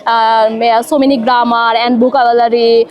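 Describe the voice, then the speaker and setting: High-voiced woman from Bangladesh, halting English. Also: level tone, faint music behind. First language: Bengali. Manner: halting, many fillers and pauses. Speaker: English learner. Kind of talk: spoken English practice conversation.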